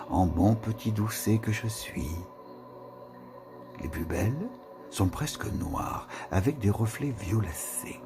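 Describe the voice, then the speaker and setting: A man giving a slow, musing reading of a literary text, with pauses and whispered asides. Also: fairly low pitch, creaky. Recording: reading with music behind. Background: music.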